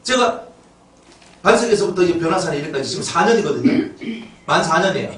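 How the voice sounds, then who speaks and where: A man with a Gyeongsang accent preaching a lively, joking sermon as the pastor. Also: fairly high pitch, fast talker, slight background noise. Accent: Gyeongsang Korean. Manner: animated and joking, acts out quotes in dialect. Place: church sanctuary. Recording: roomy church hall from a distance.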